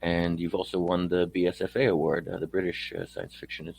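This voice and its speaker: Male podcast host from Poland, low gravelly voice, conversational interviewing with halting phrasing. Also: little pitch movement, darkish tone, a little hiss or hum.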